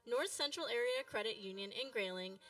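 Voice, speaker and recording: Female radio sponsor announcer, bright and higher-pitched, scripted copy, music in the mix. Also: a little fast, distant mic.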